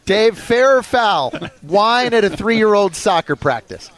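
A man with a somewhat high voice hosts a sports radio show. He introduces segments and puts questions to his guest in an interview style. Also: expressive, a little slow, fairly bright tone, dry recording.

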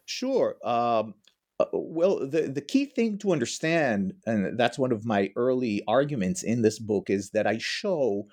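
A man's voice: medium pitch, very animated, a little slow, darkish tone. Middle-aged guy, professor type, explains stuff at length in an interview. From Israel. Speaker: history professor, guest. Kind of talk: interview answers.

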